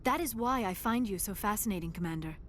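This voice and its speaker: A woman's voice: mid-range voice, lively intonation, fast talker, a little hiss or hum, lightly acted. An actress voicing a young alien woman scientist in a video game, shy and earnest, with formal, precise diction. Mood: modest. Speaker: archaeologist crew member.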